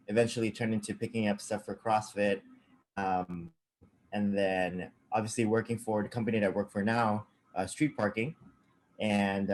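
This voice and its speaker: Young man from Saipan, lowish voice, halting and full of fillers, video call guest. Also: darkish tone. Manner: halting, many fillers and restarts, laughs often. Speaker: cameraman guest. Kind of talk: casual interview over video call.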